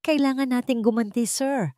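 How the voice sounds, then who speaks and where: A woman's voice: a little high, moderate pace, warm tone, no room sound, studio quality, pitched above the performer's own voice, lightly acted. A woman voicing a formal, urgent male lawyer advising his boss in a drama. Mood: urgent, insistent.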